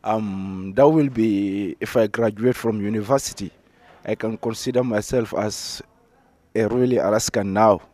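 A man's voice: fairly low pitch, fairly even intonation, measured pace, warm tone, no room sound. An eighteen-year-old man from Gambia with a Gambian accent, answering informally as a student in an interview. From Gambia, West Africa. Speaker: high school student being interviewed.

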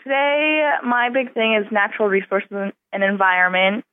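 A woman's voice: medium pitch, steady tone, normal speed. Woman in her twenties, student delegate, eager chatty answers, tinny phone-line sound. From southwest Alaska. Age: 20s. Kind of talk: casual interview answers.

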